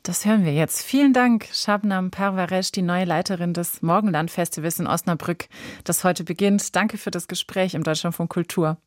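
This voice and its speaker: A woman's voice: fairly low pitch, normal speed, dry recording. A woman hosting a prepared cultural interview in a radio studio.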